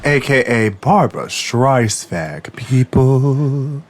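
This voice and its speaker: A man co-hosting a podcast, hoarse from a cold, joking in a campy, brash way. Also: medium pitch, animated, takes it slowly. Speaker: podcast co-host. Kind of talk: casual comedic chat.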